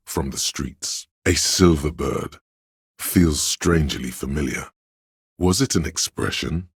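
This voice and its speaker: A man playing a learned, detached inner voice of trivia in a video game, narrating in a measured, erudite, wry way with a smooth, resonant tone. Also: rumbling low, no echo, clean studio sound, heavily acted. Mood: musing, detached.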